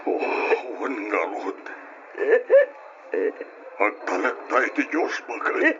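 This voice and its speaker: A man playing a calm husband in an old radio drama, soothing and reassuring, with a muffled, hissy sound. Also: on the low side, slow, exaggerated voice. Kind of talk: 1980s-style radio drama acting.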